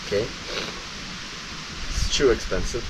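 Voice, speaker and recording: A young Brazilian man in his twenties talks slowly to camera, with a Brazilian Portuguese accent and a halting way of speaking. Steady background hiss sits under his voice. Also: on the low side, low-quality recording. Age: 20s. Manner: halting, with many restarts and pauses. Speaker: vlogger. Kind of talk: casual talk to camera. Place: countryside home.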